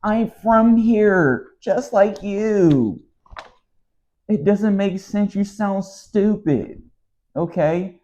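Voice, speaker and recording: Weary exasperated American man, slow. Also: high-pitched, darkish tone. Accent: Southern American. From United States. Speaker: vlogger. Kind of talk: casual monologue to camera. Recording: slightly dull lo-fi sound.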